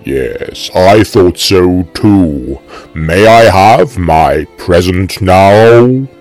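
Little boy, very low and sluggish, deadpan, calm. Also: soft background music, exaggerated voice. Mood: placid. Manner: flat, unhurried and calm. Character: very deep, slow-talking little boy.

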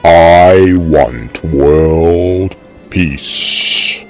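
A man voicing a calm little boy who talks in a flat, unhurried way. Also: low voice, faint music behind, exaggerated voice. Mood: placid. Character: very deep, slow-talking little boy.

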